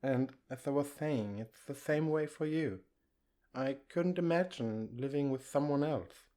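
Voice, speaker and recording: A man acts out an affectionate boyfriend comforting a nervous partner in an ASMR roleplay. He takes his time over each phrase at a middling male pitch. His delivery is gentle, coaxing and conversational. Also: unhurried, lightly acted. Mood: tender, earnest.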